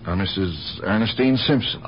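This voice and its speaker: An actor playing a patient police detective who asks measured, probing questions in a radio drama. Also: male voice, lowish voice, lightly acted. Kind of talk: 1970s radio drama acting. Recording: dull, noisy old radio recording.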